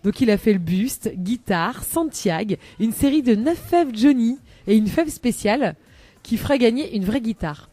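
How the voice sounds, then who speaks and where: A woman's voice: a little high, animated, moderate pace, warm tone, no echo. A woman chatting on a live radio show as a columnist, rambling with many hesitations and laughing often, into a studio microphone.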